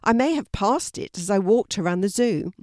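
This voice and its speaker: A woman's voice: medium pitch, lively intonation, normal speed, a little mellow, no room sound, natural voice. Lady doing a sweet helpful young girl in a kids story, bit hesitant, dry close sound. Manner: hesitant, apologetic. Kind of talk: children's storybook character voice.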